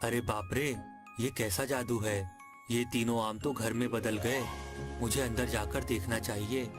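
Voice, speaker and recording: A man as a low, meek cartoon nephew. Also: steady tone, measured pace, music in the background, close to an everyday voice. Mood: amazed. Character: poor, meek, mistreated nephew, sad and honest. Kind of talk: cartoon story voice acting.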